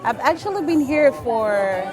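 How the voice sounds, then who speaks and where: Slow-speaking, high-voiced woman from Cameroon. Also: lively intonation. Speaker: interviewee, kindergarten English teacher. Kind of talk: casual interview answer. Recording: distant mic with music and crowd. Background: music and urban crowd.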